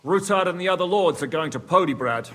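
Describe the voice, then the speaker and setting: An actor playing a crude, hearty, shrewd medieval war commander in a video game cutscene, speaking emphatically. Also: male voice, sits high, lively intonation, a little fast, fairly bright tone, in a large hall. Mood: confident. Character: boisterous, foul-mouthed medieval war commander, shrewd and gloating.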